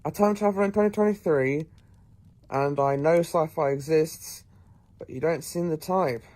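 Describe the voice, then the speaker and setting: A man playing an eccentric, clever time-travelling professor in an audio drama, with a brisk, musing, take-charge manner. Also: on the high side, darkish tone, lightly acted.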